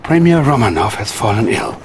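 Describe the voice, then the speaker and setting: An actor playing a middle-aged, coldly calculating Soviet commander, speaking in a measured, menacing way. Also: male voice, on the low side, sing-song, slight background noise. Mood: calm, grave.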